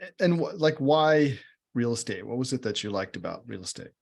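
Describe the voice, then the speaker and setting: A man hosting an interview show, asking probing questions in a relaxed conversational way with some restarts. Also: mid-range voice, a little fast, creaky. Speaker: podcast host.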